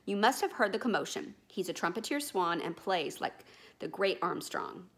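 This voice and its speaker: A woman voicing a bold, insistent male boatman in a storybook reading, his lines loud and emphatic. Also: lowish voice, expressive, normal speed. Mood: boasting.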